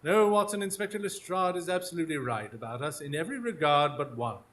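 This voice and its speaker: A man acts out a clever, haughty detective for a radio drama. His delivery is precise and formal, pitched fairly high, with very animated swings of intonation. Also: quick pace, slight background noise. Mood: smooth, cunning. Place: small theatre stage, recorded live in front of an audience.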